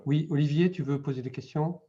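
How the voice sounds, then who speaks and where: A man running a post-screening discussion, casual and a little hesitant as he takes the questions. His voice is mellow, and the audio sounds dull. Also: on the high side, steady tone, brisk. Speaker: moderator. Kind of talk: moderating a discussion.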